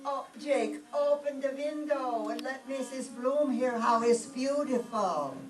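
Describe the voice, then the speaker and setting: A woman's voice: sits high, measured pace. A woman playing a fussing, nagging immigrant mama with muddled words in a staged 1930s radio comedy. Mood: bossy, doting. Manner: scolding, immigrant phrasing. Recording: echoey distant stage recording, faint noise.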